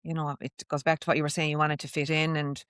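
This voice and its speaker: A woman's voice: deep voice, level tone, fast, fairly bright tone, no echo, clean studio sound. A woman hosting an interview podcast, drawing out her guest with encouraging questions and often using the guest's name. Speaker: podcast host. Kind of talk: interview conversation.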